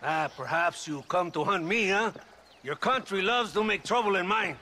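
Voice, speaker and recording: A man's voice: high voice, lively intonation, moderate pace, pushed and bright, a little hiss or hum. An actor playing a contemptuous, bullying Mexican military strongman in a western video game, sneering with theatrical menace. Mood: mocking menace. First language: Spanish.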